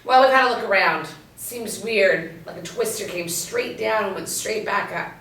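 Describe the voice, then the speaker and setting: A woman's voice: medium pitch, moderate pace, a bit bright, lightly acted. An actress reading the part of a wry, seasoned policewoman and tracker in a screenplay table read, dry and teasing. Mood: businesslike. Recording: roomy, echoey, distant mic.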